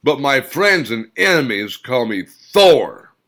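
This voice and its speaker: A swaggering soldier hero, played by a male narrator, who shouts boastful challenges loud and high. His voice is all bravado and grit. It suits a rough-edged audiobook showdown. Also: big pitch swings, slow pace, heavily acted.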